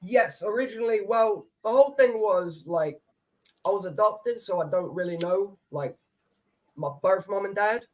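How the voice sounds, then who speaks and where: A man's voice: sits high, moderate pace, poor audio. A man in his twenties from Poland, chatting casually as a guest, rambling and swearing easily. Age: 20s. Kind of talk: casual chat.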